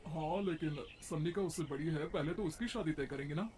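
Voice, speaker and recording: Middle-aged cartoon dad, acted by a man: reproachful peacemaker, slightly high pitch, faint background noise. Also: fairly quick, natural voice. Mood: conciliatory. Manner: chiding. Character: middle-aged husband and father, weary, reproachful, conciliatory. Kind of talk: Hindi moral-story cartoon acting.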